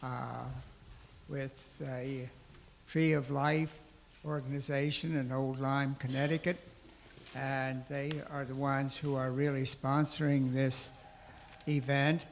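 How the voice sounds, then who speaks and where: A man welcoming an audience at a church event, talking slowly and halting with many fillers. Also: mid-range voice, level tone, gravelly, big-room sound, a little hiss or hum. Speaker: event organizer. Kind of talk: opening remarks at a church event. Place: church hall.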